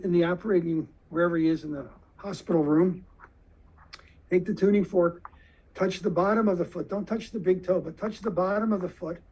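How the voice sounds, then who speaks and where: A man giving a lecture to surgeons, explaining slowly and deliberately with questions to the audience. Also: high voice, darkish tone, slightly rough, low-quality recording.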